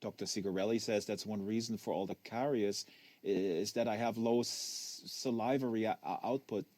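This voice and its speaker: A man's voice: on the low side, fairly even intonation, moderate pace, a little mellow, no room sound, natural voice. A man playing a hesitant, pedantic ten-year-old boy. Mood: puzzled. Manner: hesitant, stammering, pedantically exact. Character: precocious, wary, literal-minded ten-year-old tennis prodigy boy.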